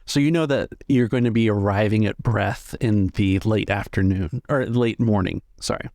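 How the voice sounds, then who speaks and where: A man's voice: lowish voice, moderate pace, a little mellow, no room sound, clean studio sound. A man narrating conversationally as the game master of a tabletop game.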